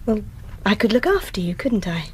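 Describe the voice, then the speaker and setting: A friendly, flirtatious nurse, acted by a woman in a radio play. Her intonation swings widely at a middle pitch, over faint ambience. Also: sing-song, normal speed, a little hiss or hum, natural voice. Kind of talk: radio drama acting.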